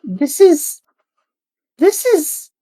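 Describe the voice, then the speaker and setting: A woman's voice: very high voice, big pitch swings, very slow, mellow, exaggerated voice. A woman playing a bemused, anxious adventurer friend in an audio drama, incredulous and hesitant. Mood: alarmed.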